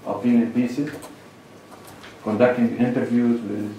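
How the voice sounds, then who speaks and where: A middle-aged man with a Lebanese accent giving a measured academic presentation at a lectern, pausing between listed points. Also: mid-range voice, little pitch movement, mellow, slight background noise. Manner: measured, lists points with pauses. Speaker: conference speaker. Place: large lecture hall. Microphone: lectern microphone, far camera. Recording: echoey hall recorded from far off.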